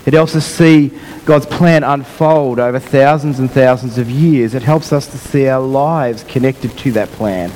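A man preaching a sermon in an unhurried way, his voice croaky, often repeating and rephrasing himself. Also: mid-range voice, a little mellow, long reverb tail, a little hiss or hum, poor audio. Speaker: pastor.

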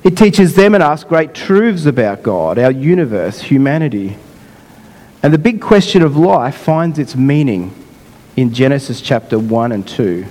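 A man preaching a sermon in an unhurried way, his voice croaky, often repeating and rephrasing himself. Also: medium pitch, very animated, darkish tone, in a large hall, poor audio. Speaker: pastor.

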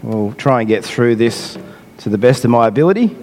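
A man preaching a sermon in an unhurried way, his voice croaky, often repeating and rephrasing himself. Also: mid-range voice, lively intonation, darkish tone, big-room sound, a little hiss or hum, low-quality recording. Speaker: pastor.

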